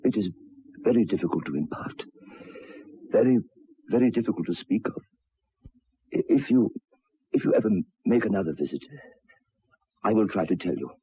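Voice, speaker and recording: An actor playing a troubled, educated railway signalman in an old radio drama, speaking haltingly and repeating his words. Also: fairly low pitch, animated, husky, no echo. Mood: troubled, hesitant. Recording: tinny, band-limited old radio recording.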